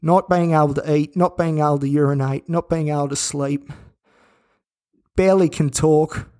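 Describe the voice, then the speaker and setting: A man hosting his own podcast from a hospital room, croaky and flat, talking in a scattered, halting way that circles back on itself. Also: on the high side. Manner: scattered, halting, repeats himself. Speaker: podcast host. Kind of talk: casual personal monologue. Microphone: own podcast recording gear.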